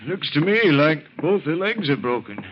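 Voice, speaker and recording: An actor playing a folksy, plain-spoken and helpful rural householder in an old-time radio drama. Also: male voice, on the high side, big pitch swings, moderate pace, slight background noise. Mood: puzzled. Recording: muffled old radio recording.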